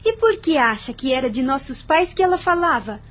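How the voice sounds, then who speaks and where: A questioning, emotional young sister in a period radio drama, with a woman acting her out. Her voice is high and moves a lot in pitch. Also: very animated, a little fast. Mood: worried. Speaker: audio drama actress.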